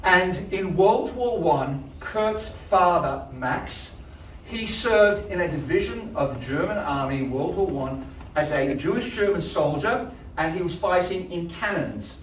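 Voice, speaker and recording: A man with a loud voice leading a choir, telling a story to a live audience in a chatty way. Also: high-pitched, expressive, a little slow, slight background noise. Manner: chatty, storytelling, asides to the crowd. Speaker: choir director. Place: large hall. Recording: echoey hall from a distance.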